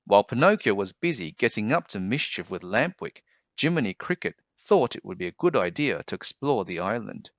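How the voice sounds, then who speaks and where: A man reading a children's storybook aloud as an expressive narrator. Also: lowish voice, a little fast, dry recording, lo-fi sound.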